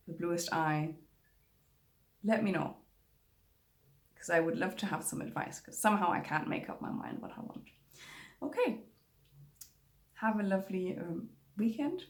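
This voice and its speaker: A young woman whose first language is German, chatting casually to camera about books, rambling with many fillers and restarts. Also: fairly low pitch. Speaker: book vlogger. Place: home room with bookshelves. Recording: echoey room.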